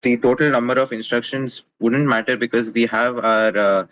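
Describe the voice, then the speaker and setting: A man's voice: mid-range voice, flat delivery, moderate pace, a bit bright, rough recording. A man answering technical questions in a casual online meeting, hesitant with many fillers and restarts. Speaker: mentee presenting a project.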